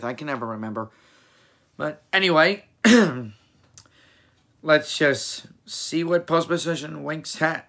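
Hoarse young man chatting casually. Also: mid-range voice, bright, forceful tone. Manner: rambling, self-correcting, chatty. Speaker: reaction video host. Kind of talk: casual reaction commentary. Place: small room indoors.

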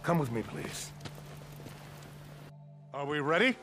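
A grandiose militia colonel. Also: male voice, a little high, big pitch swings, slow pace. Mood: commanding. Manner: commanding, formal. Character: commanding male militia colonel, grand and formal. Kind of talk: video game cutscene acting. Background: game music.

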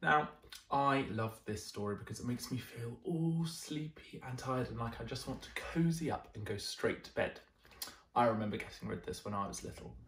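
A young man reading a bedtime picture book to children in an expressive, deliberate way. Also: medium pitch, far from the mic. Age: young adult. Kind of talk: animated children's story reading. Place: small indoor room, seated. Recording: roomy indoor echo.

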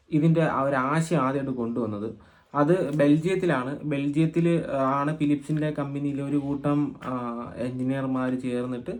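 A man from Kerala hosting an informal explainer vlog, speaking haltingly and often repeating phrases as he thinks aloud. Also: medium pitch. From Kerala, India. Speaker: video host and cassette collector.

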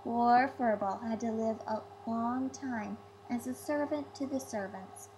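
A woman reads a fairy tale aloud in storybook style. Her voice sits a little high, and there is faint noise behind her on the recording. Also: steady tone, measured pace. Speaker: storybook reader.

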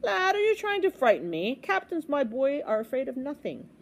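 A woman's voice: high voice, very animated, moderate pace, pitched above the performer's own voice. Woman putting on a vain, lilting guard captain. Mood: boastful. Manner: breezy, boastful. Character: smug, jovial, vain male captain of the guards.

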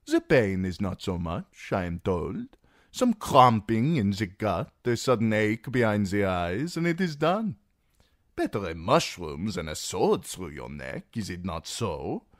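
A male narrator voicing a fat, jovial merchant magister who needles his guest in a genial, mocking, drawn-out way. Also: lowish voice, sing-song, dry recording, clean studio sound, voice pushed up. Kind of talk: audiobook character reading.

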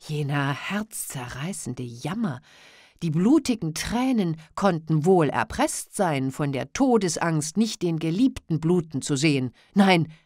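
A woman reads a classic novella aloud at a notably slow, unhurried pace. Her voice sits somewhat low and sounds mellow and dark, and the recording is dry and close. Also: lively intonation, no room sound. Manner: measured, unhurried storytelling. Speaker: audiobook narrator. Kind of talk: scripted narration of a classic novella.

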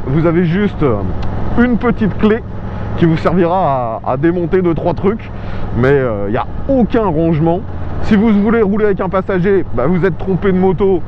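A man casually reviewing a motorcycle while riding, chatty and enthusiastic, with lots of fillers. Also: high voice. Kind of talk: casual spoken vehicle review. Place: outdoors riding a motorcycle on rural roads. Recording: muffled helmet mic with road noise. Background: engine and road noise.

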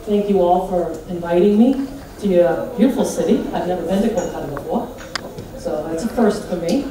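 Middle-aged American woman, big animated keynote delivery, roomy PA sound from far back. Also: on the low side, normal speed. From United States. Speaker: conference speaker. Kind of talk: lively conference talk. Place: large hotel conference hall. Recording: echoey hall, PA from far off.

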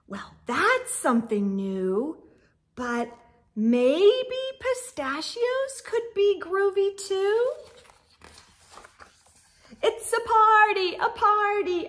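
A middle-aged woman reading a children's storybook aloud with expressive, exaggerated emphasis and sing-song refrains. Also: extremely high pitch, slow. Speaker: storytime read-aloud host. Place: indoor room with bookshelves.

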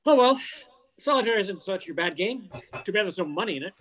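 A woman's voice: medium pitch, animated, a little fast, a bit bright, slight background noise, lightly acted. An older woman playing a wisecracking, scheming male reporter in a radio play, breezy and wry, over a video call. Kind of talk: old-time radio play acting.

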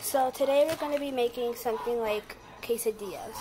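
A woman's voice: a little high, a little fast. A teenage girl giving a casual, hesitant cooking demo in a home kitchen, filmed on a phone. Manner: chatty, hesitant with fillers. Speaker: guest cook. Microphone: handheld phone camera. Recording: noisy phone recording.

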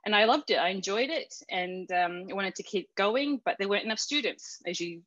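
A woman's voice: mid-range voice, lively intonation, brisk, a bit bright, no echo, lo-fi sound. An Australian woman telling a personal story in a casual interview, chatty, with many fillers and restarts. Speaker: interview guest.

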